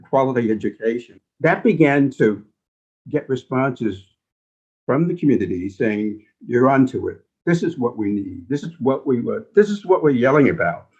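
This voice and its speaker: An older man recalling his experiences as a veteran reporter on a panel, with emphatic, halting phrasing and stressed words. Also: mid-range voice, darkish tone. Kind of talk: personal recollection in a panel discussion. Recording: dull, lo-fi audio.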